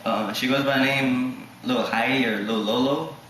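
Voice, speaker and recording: A nineteen-year-old man telling a mock documentary story in a flat, hesitant way. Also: medium pitch, moderate pace. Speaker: student interviewee. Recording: echoey room with faint noise.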